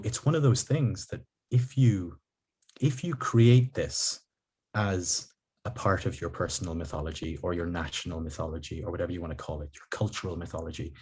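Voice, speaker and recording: A man raised in Ireland, hosting a video-call interview from his living room, speaking thoughtfully with many pauses and restarts. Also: deep voice, darkish tone, breathy edge. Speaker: podcast host. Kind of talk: reflective conversational interview.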